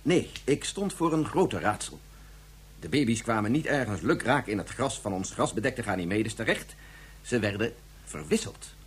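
An actor playing an agitated physicist in a Dutch radio drama, speaking in an earnest, formal, explanatory way. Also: male voice, on the low side, very animated, moderate pace, husky, full character voice. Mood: earnest defence. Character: agitated male physicist defending his invention.

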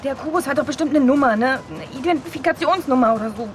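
An actress plays a stubborn young woman in a radio drama. Her voice is very high, urgent and emotional, with music playing behind her. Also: fast, lightly acted. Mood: tearful hope. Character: determined, emotional young daughter searching for her brother. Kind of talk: German radio drama acting.

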